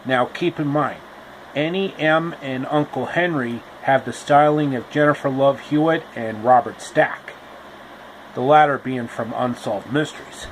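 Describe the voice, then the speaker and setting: Slow-talking man retelling a fairy tale. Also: medium pitch, a little hiss or hum, rough recording. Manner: slow, repetitive, explanatory asides. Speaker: storyteller. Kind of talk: casual storybook retelling.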